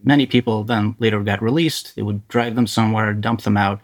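Male reporter as interviewee, lowish pitch, candid eyewitness storytelling with a halting delivery. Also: fairly even intonation. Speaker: journalist being interviewed. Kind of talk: candid first-person interview account.

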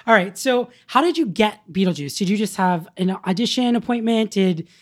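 A woman with a raspy voice hosts a casual chat. She asks questions warmly and heaps enthusiastic praise on her guest. Her voice sits at a middle pitch. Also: expressive, moderate pace. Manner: gushing, enthusiastic interviewer. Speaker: podcast host.

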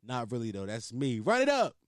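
A man's voice: mid-range voice, wildly swooping pitch, fairly quick. A man with a Philadelphia accent hosting his own podcast in casual, rambling, profane chat full of filler words. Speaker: podcast host.

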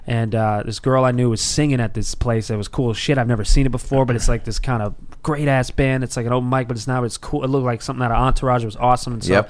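A man's voice: mid-range voice, quick pace, hardly any pauses. A man in casual podcast chat, telling a long story in a rambling, profane way and acting out what people said. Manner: profane, rambling, animated storyteller who acts out quotes.

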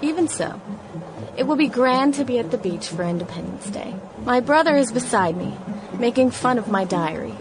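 A woman's voice: on the high side, animated, moderate pace, noisy background. A woman voicing a young woman writing diary entries at the beach in 1916, in a radio play. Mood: wry. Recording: music bed behind voice.

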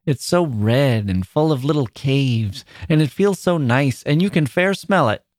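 Guy doing a little working-class girl for an audiobook, dreamy n eager, childlike, high voice. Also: lively intonation, measured pace, darkish tone, no room sound, clean studio sound, natural voice. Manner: rapturous, childlike, dialect turns of phrase. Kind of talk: literary audiobook character voice.

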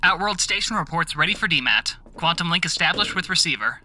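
A woman playing a formal, procedural starship officer in a science fiction audio drama, with loud ship noise around the voice. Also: on the low side, expressive, a little fast. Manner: crisp, procedural. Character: formal starship officer reporting systems status.

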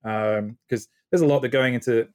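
A man's voice: medium pitch, fairly even intonation, normal speed, clean tone. A man with a faint British accent, a guest composer chatting at length in a casual interview, enthusiastic and full of fillers. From Britain.

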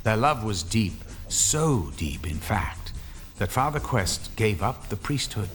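A man narrating a horror radio drama as a suave storyteller, in a theatrical, measured way with weighty pauses. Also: on the low side, sing-song, soft background music. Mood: dramatic, wry.

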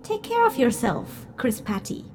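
A very high, swooping female spirit teases mortals with theatrical relish. She is a playfully condescending fortune-teller in an audio drama, heard over faint ambient noise. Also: big pitch swings, measured pace. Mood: mystical, playful. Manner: theatrical, teasing, chuckling. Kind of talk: audio drama acting, direct address to listeners.

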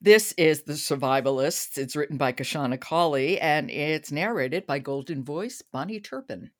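Woman podcast host, really low voice, chatting about books. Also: normal speed. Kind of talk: conversational interview hosting.

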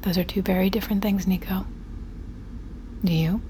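An actress playing a calm, calculating and persuasive survivor in an intimate audio drama. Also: female voice, mid-range voice, normal speed, slight background noise, close to an everyday voice. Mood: probing, intrigued.

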